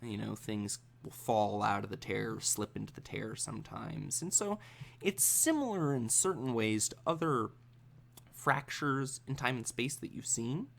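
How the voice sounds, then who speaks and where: A man working as game master, improvising the narration of a tabletop role-playing game in a halting, thinking-aloud way. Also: mid-range voice, expressive, gravelly.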